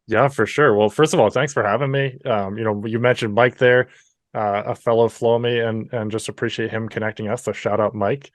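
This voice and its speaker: A man's voice: on the low side, steady tone, brisk, no echo, clean studio sound. A man in his thirties from New Brunswick with a Canadian accent, telling his story as an interview guest in a friendly, chatty way. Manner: friendly, chatty, frequent "you know" asides.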